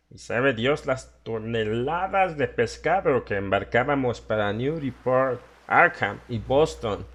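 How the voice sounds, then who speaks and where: A man voicing a hysterical old townsman in a horror audiobook, rambling conspiratorially about dark secrets. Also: mid-range voice. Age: older. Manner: agitated, conspiratorial, laughing and questioning his listener. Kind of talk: dramatised horror audiobook monologue.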